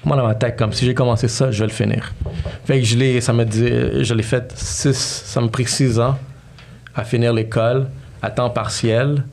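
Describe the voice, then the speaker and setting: A man's voice: mid-range voice, little pitch movement, moderate pace, creaky, a little mellow. A man in his thirties with a Quebec French accent, telling his life story as a podcast guest, freely mixing English slang into his French. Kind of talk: casual storytelling interview.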